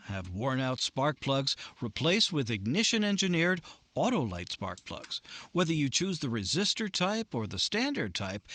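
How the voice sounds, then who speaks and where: A man acting an effusive American radio advertising pitchman in 1950s style, grandiloquent and salesy. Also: a bit bright, no room sound, heavily acted.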